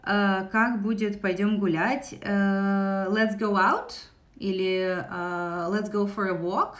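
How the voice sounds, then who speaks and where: A woman's voice: medium pitch, fairly even intonation, slow, a bit bright. A young woman with a Russian accent, teaching an English lesson on a live stream, speaking into a phone's front camera in a small room and switching between English and Russian with many fillers. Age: young adult. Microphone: phone held close, front camera.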